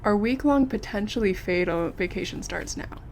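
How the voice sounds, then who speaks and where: An actress playing a polite, earnest young investigative reporter with an American accent in an audio drama. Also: female voice, medium pitch, animated, moderate pace, slight background noise, lightly acted. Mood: wry, confiding. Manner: polite, wry. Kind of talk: audio drama acting, narrated recorder diary.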